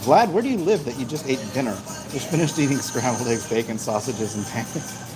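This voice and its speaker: A man's voice: mid-range voice, animated, brisk, low-quality recording. A man chatting casually to a livestream while he eats, talking between bites with savoring hums. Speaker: livestream host. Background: music.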